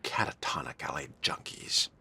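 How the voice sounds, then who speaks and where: A man's voice: normal speed, a little hiss or hum. A man role-playing in character through a video game, muttering dry, sardonic commentary to himself. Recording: loud music behind the voice.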